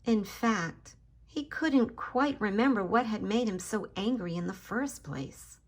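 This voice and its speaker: A woman's voice: medium pitch, measured pace. A woman hosting a children's story time, reading a picture book expressively, addressing young listeners directly and stressing key words for drama.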